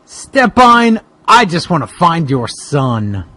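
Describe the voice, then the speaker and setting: A performer voicing a massive, pleading supernatural male wrestler, husky and creaky, in a halting, overwrought comic reading. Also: medium pitch, big pitch swings, sharp tone, no room sound, heavily acted.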